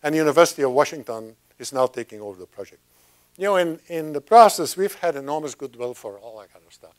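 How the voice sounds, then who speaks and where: A man's voice: a little high, animated, fast talker. Older male speaker at a podium, informal and rambling science talk with a lapel mic. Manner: rambling, chatty, with many restarts and asides. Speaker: guest speaker. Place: lecture room at a podium.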